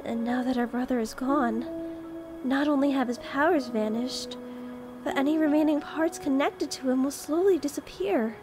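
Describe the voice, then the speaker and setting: Somber earnest sister, high voice, played by a woman. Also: normal speed, lightly acted. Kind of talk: fan audio drama acting. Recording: music underneath.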